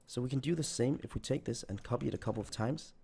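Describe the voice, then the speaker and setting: A man's voice: medium pitch, quick pace, creaky, dark tone, husky. A man who is nearly losing his voice, talking through a coding tutorial while he types. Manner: thinks aloud while typing code. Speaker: programming tutor. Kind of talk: screen-recorded coding tutorial.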